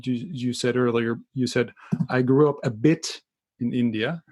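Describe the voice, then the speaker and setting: A man hosting a casual conversational interview, repeating and rephrasing his words in a halting way. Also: mid-range voice, fairly even intonation, a little mellow. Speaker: podcast host, interviewer.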